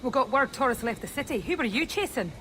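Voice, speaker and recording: An actress playing a wry, self-assured ally of a pirate, dry and teasing, in a dramatic game scene. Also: female voice, high voice, animated, fairly quick, fairly bright tone, a little hiss or hum. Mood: businesslike. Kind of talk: dramatic video game acting.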